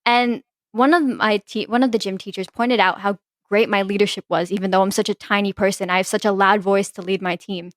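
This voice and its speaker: A teenage woman chats with friends on a podcast, spinning a story with plenty of "like" and loose restarts. Her voice sits at an ordinary pitch. The sound is close and dry, with no room around it. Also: fairly even intonation, fairly quick, a bit bright, no echo. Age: teens. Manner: chatty storyteller, many "like" fillers. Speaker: podcast co-host. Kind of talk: casual chat among friends.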